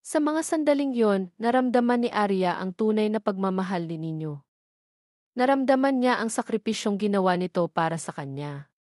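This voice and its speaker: A woman reading scripted dramatic narration of a love story in a measured, sentimental manner. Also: mid-range voice, animated, a little mellow, no echo, clean studio sound.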